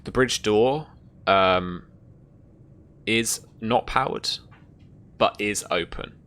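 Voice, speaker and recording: A man running a tabletop roleplaying game, narrating scenes and explaining rules in a halting, thinking-aloud way. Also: on the low side, a bit bright. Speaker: game master. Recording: noticeable background noise.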